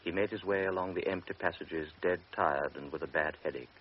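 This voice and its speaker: A man narrating a radio drama in a steady, restrained manner. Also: deep voice, brisk. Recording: muffled old radio recording with hiss.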